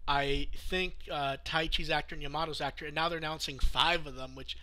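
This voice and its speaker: A man's voice: on the high side, fairly even intonation, brisk, pushed and bright. A man hosting a podcast, casually talking through news and thinking aloud as he goes. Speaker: podcast host. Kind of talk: casual news discussion among podcast co-hosts.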